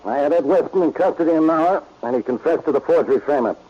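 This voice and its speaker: Grumpy blustering cop boss, husky and a bit high, muffled old radio. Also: male voice, lively intonation, fast talker. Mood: grudging, calmer. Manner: blustery, curt. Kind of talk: 1940s radio acting. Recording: muffled, dull vintage radio recording.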